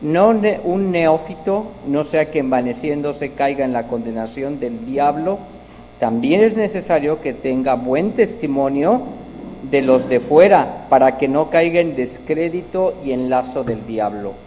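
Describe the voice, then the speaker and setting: A man from Mexico with a Mexican accent, preaching a sermon with prayer and scripture reading, slow and halting, often repeating his words. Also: medium pitch, lively intonation, warm tone. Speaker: visiting preacher. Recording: long hall reverb, faint noise.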